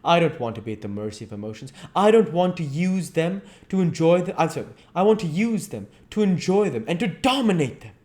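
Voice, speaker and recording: A man's voice: a little high, very animated, brisk, few pauses, a little mellow, voice pushed up. A man voicing a vain, callous young aristocrat, haughty and flippant, from a classic novel. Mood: indignant.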